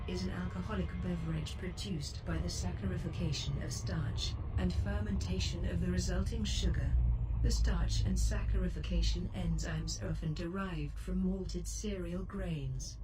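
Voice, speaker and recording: Woman as a formal ship computer, low-voiced, over music. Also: distant mic, lightly acted, poor audio. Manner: measured, formal, informative delivery. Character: calm female ship computer assistant, formal and helpful. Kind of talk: scripted voice-assistant announcements.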